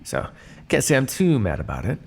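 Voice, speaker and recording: Man playing a smug, gravelly vampire captor. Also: medium pitch, wildly swooping pitch, a little fast, a little mellow, a little hiss or hum. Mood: condescending, firm. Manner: theatrical, drawling, mock-polite, sardonic. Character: male vampire scientist captor, smug, teasing, coolly condescending. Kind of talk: ASMR roleplay monologue addressed to listener.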